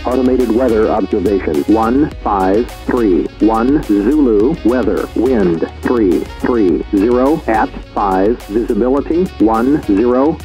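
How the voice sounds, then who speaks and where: A man's voice: lowish voice, animated, slow pace, few pauses, mellow, faint music behind, rough recording. A synthetic man's voice reading an automated airport weather report in clipped, separate words.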